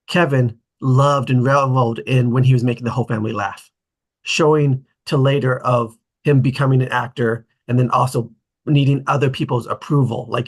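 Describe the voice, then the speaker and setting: A man with a somewhat husky voice talks casually in a group discussion. His pitch is middling, and he explains things haltingly, often interrupting himself to pick up the thread.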